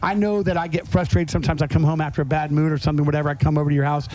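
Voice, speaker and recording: A man with a fairly high-pitched voice hosting a casual interview. He is chatty and rambles, doubling back on his words as he works toward a question. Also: little pitch movement, very fast, a little mellow. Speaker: podcast host.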